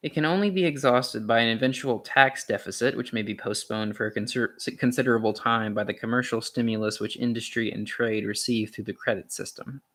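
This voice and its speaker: Man reading aloud, halting delivery. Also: medium pitch, fairly even intonation, a bit bright. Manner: halting, stumbles and corrects himself. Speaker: amateur reader of a philosophy essay. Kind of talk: reading aloud from an essay, with casual asides.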